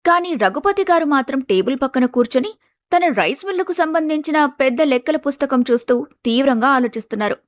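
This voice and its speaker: A woman's voice: high voice, lively intonation, a little fast, no room sound. A woman reading scripted storybook narration as the narrator of a family tale.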